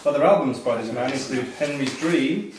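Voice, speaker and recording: A man's voice: medium pitch, moderate pace. Echoey, distant man reading quiz questions. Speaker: quiz master. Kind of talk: reading pub quiz questions aloud to players. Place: echoey function room at a live quiz. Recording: roomy echo, distant mic, background noise.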